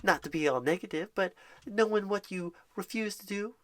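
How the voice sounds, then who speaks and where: A job recruiter in an ASMR roleplay phone screen, folksy and sweet, calling the listener darling and honey and sometimes dropping to soft whispers, with keyboard typing behind. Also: mid-range voice, moderate pace, a little hiss or hum. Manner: drops to soft whispers at times.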